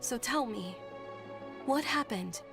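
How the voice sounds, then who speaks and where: An actress playing a caring, polite young noblewoman in a video game, with a gentle and refined manner. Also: on the high side, animated, slow. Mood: concerned. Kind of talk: video game character acting. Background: music.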